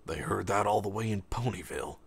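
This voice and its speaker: A man's voice: fairly low pitch, fairly quick, creaky, breathy edge. A man voicing a scornful male pony slave in an audiobook, muttering and grumbling over music. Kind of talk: audiobook character voice.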